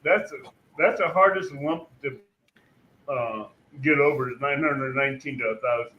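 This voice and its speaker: A man's voice: medium pitch, normal speed. A man chatting casually as a streamer on a live panel, in a muffled, noisy, echoey recording. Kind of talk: casual chat.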